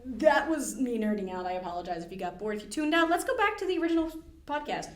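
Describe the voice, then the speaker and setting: A woman's voice: on the high side, quick pace. Chatty American woman podcasting in echoey room. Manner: animated, slangy, jokey retelling with acted-out quotes. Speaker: podcast host. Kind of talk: casual podcast chat and storytelling. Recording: roomy, echoey indoor sound.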